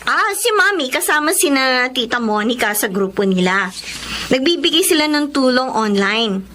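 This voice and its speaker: An actress playing an affectionate, outspoken young adult little sister in a Filipino radio drama, frank and chatty. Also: female voice, high-pitched, expressive, normal speed, sharp tone, a little hiss or hum.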